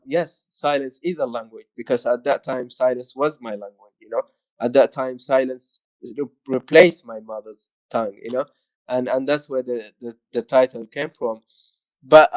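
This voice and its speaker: Soft-spoken man with a dry sound. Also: medium pitch, no room sound, low-quality recording. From Eritrea. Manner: thoughtful, frequent "you know". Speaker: author in conversation. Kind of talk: reflective conversation.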